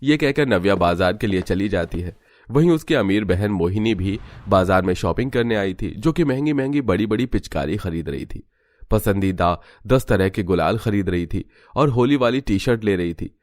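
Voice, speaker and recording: A man's voice: fairly low pitch, animated, normal speed, darkish tone, no room sound. A man giving scripted narration for a cartoon story.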